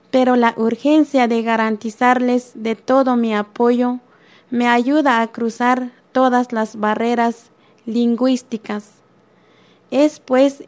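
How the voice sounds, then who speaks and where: A woman reading a listener's letter aloud on the radio, slow and earnest. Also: fairly high pitch, darkish tone.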